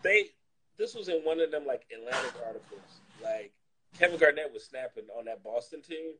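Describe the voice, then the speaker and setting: A man in casual, comedic chat, talking in an animated, slangy, profane joking way. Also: a little high, fairly quick, background noise.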